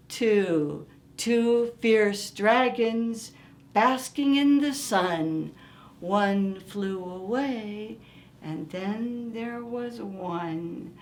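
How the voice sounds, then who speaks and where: Woman, children's read-aloud, very unhurried pace, higher-pitched, expressive, deliberate delivery. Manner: expressive, asks listeners simple questions. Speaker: children's story-time reader.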